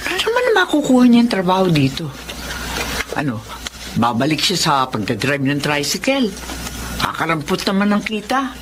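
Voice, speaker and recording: A woman's voice: lowish voice, huge pitch swings, normal speed, long pauses. A woman playing a concerned, plain-spoken older mother in a Filipino radio drama, frank and full of questions. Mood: doubtful. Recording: clear background ambience noise.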